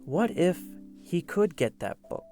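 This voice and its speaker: A man narrating a historical tale as a storyteller, with theatrical, measured delivery. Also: high voice, very animated. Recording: music under the voice. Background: musical instruments playing.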